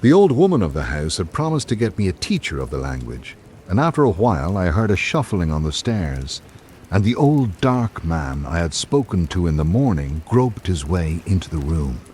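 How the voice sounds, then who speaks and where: Deep-voiced male radio drama narrator. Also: big pitch swings, normal speed, a little mellow, slight background noise. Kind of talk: scripted old-time radio narration.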